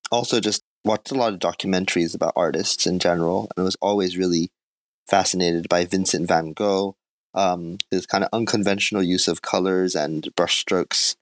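Low gravelly man, self-deprecating artist. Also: fairly even intonation, moderate pace, no room sound. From Japan. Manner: soft-spoken, thoughtful, self-deprecating with small laughs. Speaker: artist guest. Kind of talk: casual interview conversation.

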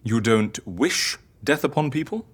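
A woman's voice: very deep voice, animated, measured pace, husky, heavily acted. An actress in an audio drama playing a fussy, eccentric, sceptical woman who reassures with hesitant patience and dry wit. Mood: reasoning.

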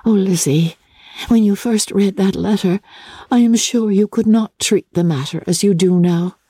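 A woman voicing a gentle, tender-hearted young English gentlewoman in a novel reading, soft and earnest, easily distressed. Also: medium pitch, moderate pace, slightly rough, dry recording, lightly acted. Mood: gentle reproach. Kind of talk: dramatic classic novel reading.

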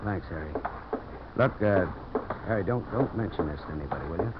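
A man's voice: deep voice, animated, fairly quick. An actor playing a tough, determined American man turned champion of settlers in a 1950s radio drama, intense and earnest. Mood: grave. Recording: muffled, hissy old radio broadcast.

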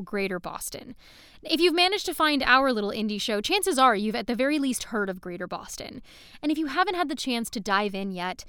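A woman's voice: a little high, a bit bright, clean tone, no echo, studio quality. A woman hosting a podcast, introducing another show in an enthusiastic, chatty way with small fillers. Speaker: podcast host. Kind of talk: casual promo introduction.

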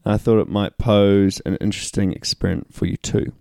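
Dry teasing New Zealand-accented man, low voice. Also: steady tone, fairly quick, creaky, a little mellow, no echo. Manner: dry, teasing, short replies. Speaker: podcast co-host. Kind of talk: casual rugby podcast chat.

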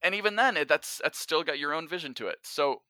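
Man, bright crisp-edged tone, instructive, emphatic, conversational podcast guest, very dry sound. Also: fairly high pitch, lively intonation, brisk, no room sound. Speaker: podcast guest, writer and teacher. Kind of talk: conversational advice in an interview.

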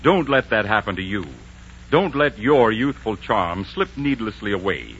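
A man's voice: fairly low pitch, sing-song, measured pace, fairly bright tone, slight background noise. A man with an American accent announcing in a polished, persuasive 1940s radio style. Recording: muffled 1940s radio broadcast.

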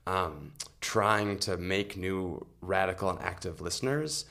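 Male interview guest, slightly low voice, reflective conversational delivery with hesitant phrasing. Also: expressive, sharp tone. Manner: thoughtful, halting, hedging. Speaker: arts organization administrator, interview guest.

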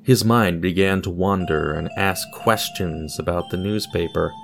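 A man's voice: low-pitched, measured pace, gravelly, noisy background. A man narrating a scripted audio drama in a theatrical, storybook style, with a wry touch.

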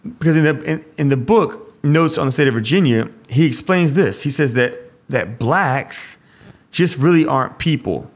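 A man's voice: fairly high pitch, animated, normal speed. A priest hosting a show and explaining a scripture passage in a conversational way. He often restarts his sentences and adds "like" asides.

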